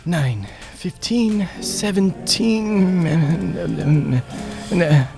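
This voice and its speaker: An actor playing a meek, kindly clerk in a radio play, deferential and hesitant in speech. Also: male voice, high voice, lively intonation, drawn-out words, creaky, music in the background, exaggerated voice. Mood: nervous.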